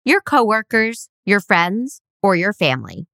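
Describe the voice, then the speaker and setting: A woman's voice: mid-range voice, sing-song, a little slow, vocal fry, a bit bright, no echo. A woman co-hosting a casual chat podcast, teasing her partner and reading out quiz questions. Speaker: podcast co-host.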